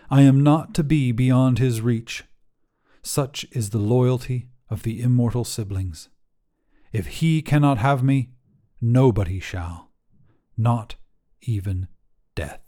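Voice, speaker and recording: A man narrating a literary story as a weary, desolate immortal guardian, in a solemn, measured, brooding manner. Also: mid-range voice, warm tone. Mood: bitter, weary. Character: weary, desolate immortal guardian, forsaken by gods, telling their tale.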